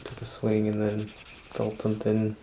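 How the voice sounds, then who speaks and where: A woman playing the patient in a quiet ASMR roleplay, giving brief, hesitant, low-key answers. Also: completely flat delivery, measured pace, slight background noise, low-quality recording.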